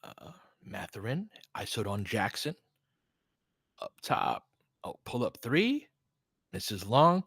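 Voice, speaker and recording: American male fan-stream sports host, bright forward voice, very dry sound, informal and opinionated play-by-play. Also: on the low side, big pitch swings, slow, creaky, pauses often, slightly rough, no room sound. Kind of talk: basketball play-by-play mixed with casual fan chat.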